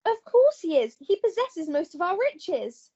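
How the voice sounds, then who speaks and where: A woman's voice: extremely high pitch, very animated, unhurried, dark tone, lo-fi sound, heavily acted. A woman playing a melodramatic, lovelorn young English damsel in a comic radio play, overwrought and theatrical. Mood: distraught.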